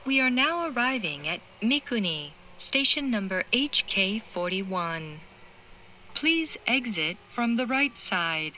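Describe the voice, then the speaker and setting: A woman delivers formal recorded station announcements. She is very slow and deliberate, with a bright, forward tone. There is light train noise around her. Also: a little high, very animated. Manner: formal, deliberate, clearly enunciated. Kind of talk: scripted automated station announcement. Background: moving train interior noise.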